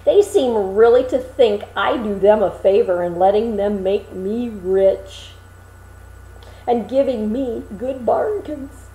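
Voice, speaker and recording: A woman's voice: a little high, slow pace, warm tone, roomy sound, rough recording. A woman voicing a cheerful, naive young man in a storybook reading, eager and guileless. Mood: overjoyed.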